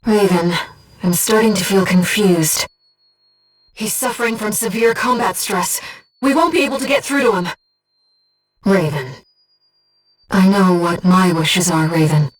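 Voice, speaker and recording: A woman voicing a gentle, disembodied young female companion in a video game, giving a mercenary pilot composed, earnest and precise tactical reports in a soft, breathy voice. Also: mid-range voice, a little slow, pauses often, far from the mic, faint music behind, lightly acted, low-quality recording. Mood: troubled, solemn. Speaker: mission operator companion.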